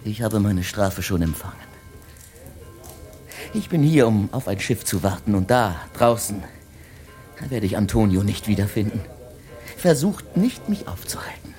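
A man's voice: lowish voice, animated, unhurried, pauses often, mellow, faint music behind. Agitated, cornered lovesick man. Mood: resigned, bitter. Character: cornered lovesick man, jealous, defensive, bitter, defiant under accusation. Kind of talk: German radio play acting.

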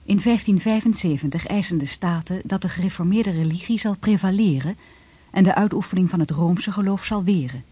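A narrator reading a formal scripted historical narration in a measured way. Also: mid-range voice, dark tone, husky, no echo, highs cut off.

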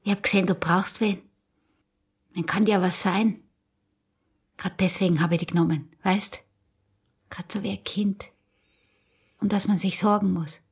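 An actress with an Austrian accent playing a smuggler's wary, teasing peasant wife in a radio drama, her voice breathy and husky. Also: medium pitch, fairly even intonation, moderate pace, long pauses. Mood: tender, knowing. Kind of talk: intimate radio drama acting in dialect.

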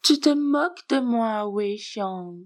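A sly storybook schemer, a man of polite and coy manners, read by a woman. The delivery is measured, with dry teasing under the courtesy. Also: on the high side, expressive, a little mellow, pitched above the performer's own voice. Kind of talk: storybook character reading.

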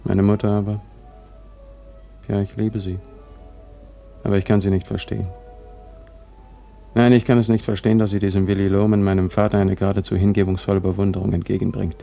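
A self-assured son narrating an old radio drama. The voice is lowish, dark and gravelly, with deliberate, confiding phrasing. Music accompanies the monologue. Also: male voice, flat delivery. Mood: pensive, puzzled. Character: cocky, reflective adult son narrating his parents' story.

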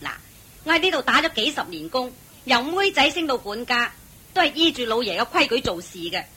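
An actress playing an old, bossy, penny-pinching female housekeeper in a radio drama, scolding and sharp-tongued. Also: high voice, very animated, a little fast, slightly rough, heavily acted. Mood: stubborn. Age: older.

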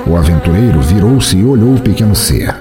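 A man's voice: sits low, very animated, brisk, music in the background. A man with a Brazilian accent narrating a scripted fantasy story as the storyteller of an audio drama.

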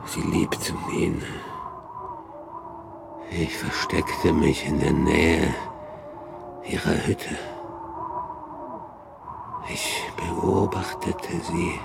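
Low, halting, gravelly monster. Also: male voice, very noisy, full character voice. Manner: halting, broken phrases with groaning effort. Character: anguished male man-made monster, lonely and bitter. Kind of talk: radio play acting.

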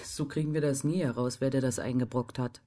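Low-voiced, defiant young woman. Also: steady tone, a little fast, background noise. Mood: sardonic. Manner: blunt, sardonic, evasive. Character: defiant, guarded young left-wing female history student. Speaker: actress in radio crime drama. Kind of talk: naturalistic radio drama dialogue.

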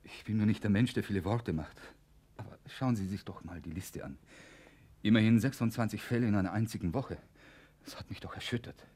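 An actor playing an evasive, middle-aged male psychiatry professor in a radio drama, halting and repeating himself. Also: on the low side, dark tone, slightly rough. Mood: uneasy, defensive. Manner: halting, repeats himself, swings between muttering and outbursts. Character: evasive, hesitant senior male psychiatry professor in Nazi era. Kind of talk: German radio drama acting.

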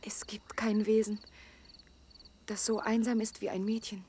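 A woman's voice: mid-range voice, level tone, measured pace, dark tone, a little hiss or hum, close to an everyday voice. An actress playing a lonely young woman of twenty in an intimate German radio drama, hurt and pleading yet proud, often dropping toward a whisper. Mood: sad, confiding. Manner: urgent, imploring, emotionally open.

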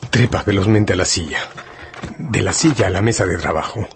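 A man's voice: sits low, a little fast. A man narrating a radio drama in a scripted, dramatic storytelling style. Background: music.

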